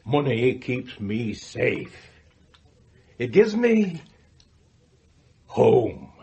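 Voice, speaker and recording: An actor playing a pompous, wealthy family patriarch in a radio drama, declaiming deliberately about himself. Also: male voice, medium pitch, wildly swooping pitch, gravelly, mellow, lo-fi sound, full character voice. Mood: self-satisfied musing. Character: anxious, self-satisfied wealthy magnate and family patriarch.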